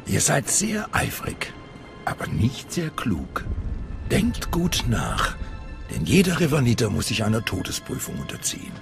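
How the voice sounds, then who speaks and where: An ominous older cult master from a video game, with a heavily creaking, gravelly voice. He speaks with hushed menace and dramatic swings of pitch, over clear background music. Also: huge pitch swings, a little slow, exaggerated voice. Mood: ominous, probing.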